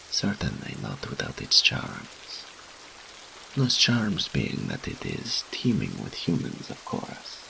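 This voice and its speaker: An ancient, seductive incubus demon speaks in an extremely low, heavily gravelly voice. His delivery swings dramatically in pitch as he teases and boasts with theatrical arrogance, as in an intimate audio roleplay addressed straight to the listener. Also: background noise, heavily acted. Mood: hungry, smug. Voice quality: very deep, gravelly. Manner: slow, theatrical, teasing, pompous.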